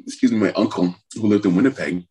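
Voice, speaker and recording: Low-voiced guy from trinidad, middle-aged, rambles with lots of ums. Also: quick pace, warm tone, poor audio. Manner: rambling, hesitant, lots of fillers. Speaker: musician guest. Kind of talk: conversational interview.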